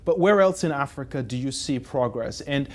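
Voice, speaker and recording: A man hosting a business TV show, asking fluent, measured questions with a few small repeats. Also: mid-range voice, animated. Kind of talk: broadcast interview.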